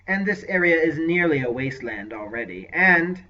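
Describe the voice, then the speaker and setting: A man voicing a scheming male sorcerer with a deeply nasal, unctuous, smug manner in an audiobook. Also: sing-song, fairly quick, sharp tone, far from the mic. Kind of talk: audiobook character voice. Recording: lo-fi dull recording.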